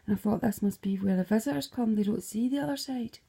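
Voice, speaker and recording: A woman's voice: a little high, fast talker, gravelly, mellow, husky. A Scottish woman casually retelling a dream, rambling, slipping into whispered asides and swearing freely.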